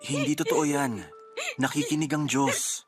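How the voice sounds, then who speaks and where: A man's voice: fairly high pitch, fairly even intonation, unhurried, soft background music, close to an everyday voice. A man playing a patient, devout husband, soothing and earnest, in a radio drama. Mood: consoling. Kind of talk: Tagalog radio drama acting.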